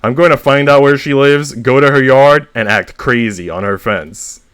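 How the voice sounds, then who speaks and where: A man's voice: mid-range voice, moderate pace, a bit bright, smooth, no room sound, close to an everyday voice. Man voicing an upset, vengeful squirrel.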